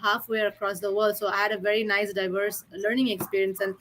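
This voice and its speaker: A woman's voice: medium pitch, little pitch movement, fairly quick, fairly bright tone, slight background noise, poor audio. Chatty young woman. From India. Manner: chatty, many fillers. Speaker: filmmaker and writer. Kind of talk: casual interview answers.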